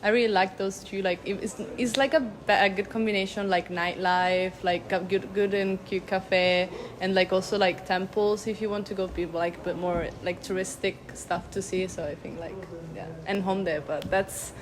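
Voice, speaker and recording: A woman's voice: mid-range voice, steady tone, normal speed, few pauses, slight background noise, rough recording. Chatty young woman giving tips. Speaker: summer school student. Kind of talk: casual testimonial.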